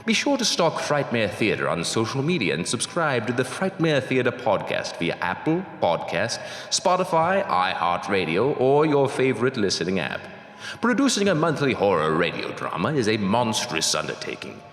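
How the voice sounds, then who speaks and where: A man's voice: medium pitch, huge pitch swings, moderate pace, fairly bright tone. A man playing a stately ghostly announcer, reading scripted horror radio narration in a formal, ominous, theatrical manner. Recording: echoey hall-like reverb.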